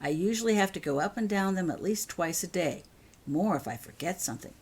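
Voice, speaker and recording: A woman's voice: lowish voice, expressive, a little fast, darkish tone, slightly rough. A woman voicing an earnest schoolgirl in a storybook reading. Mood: chatty. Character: earnest schoolgirl home for the holidays, attached to her cat.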